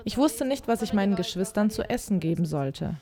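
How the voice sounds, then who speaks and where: Quiet female first-person dub voice. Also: mid-range voice, animated, a little fast. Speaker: voice-over translator. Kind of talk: voice-over dubbing in first person.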